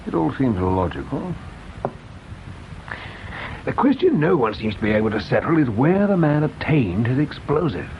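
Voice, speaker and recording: An actor playing a measured, dryly courteous English police inspector in a radio crime drama, questioning in a deliberate, understated manner. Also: male voice, mid-range voice, big pitch swings, highs cut off, a little hiss or hum. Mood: dryly reflective.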